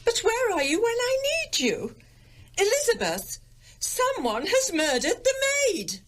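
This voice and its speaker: A woman's voice: extremely high pitch, wildly swooping pitch, measured pace, heavily acted. An actress playing a domineering, self-pitying older English village mother in a radio drama, haughty and querulous. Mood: indignant. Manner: querulous, haughty, melodramatically aggrieved.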